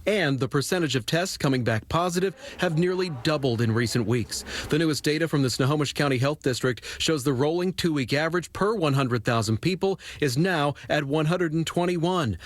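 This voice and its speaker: A man's voice: a little high, no room sound. A man narrating a scripted news report as a television reporter.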